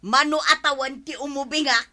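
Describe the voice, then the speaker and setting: Scolding sixtyish Ilocano auntie, high voice, sharp and bright, comedy drama. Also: female voice, steady tone, brisk. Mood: reluctant, amused. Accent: Filipino, Ilocano. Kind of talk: broadcast comedy drama acting.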